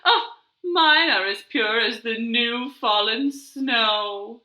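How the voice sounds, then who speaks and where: A woman's voice: fairly high pitch, takes it slowly, bright, forceful tone, echoey room, poor audio. A woman voicing a vain, fussy storybook hen, crooning and condescending as she tries to outdo a rival. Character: vain, fussy, one-upping clucking hen. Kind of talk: animated picture-book read-aloud.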